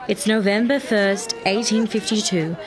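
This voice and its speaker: A woman's voice: mid-range voice, measured pace, over music, lightly acted. A woman playing a time-travelling reporter who speaks in a reportorial, on-the-scene manner in a radio play.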